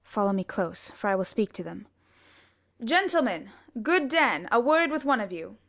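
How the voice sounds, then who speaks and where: A woman's voice: medium pitch, big pitch swings, moderate pace, fairly bright tone. A woman playing a haughty, aggressive young duelist in a Shakespeare audiobook, with curt, challenging delivery. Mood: curt, menacing. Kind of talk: Shakespeare audiobook dramatic reading.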